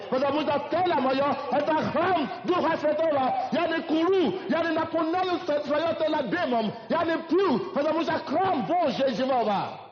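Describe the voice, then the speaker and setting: High-voiced preacher in a reverberant hall. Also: male voice. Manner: preaching with rising emphasis and repetition. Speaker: pastor. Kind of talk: sermon. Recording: dull lo-fi hall recording.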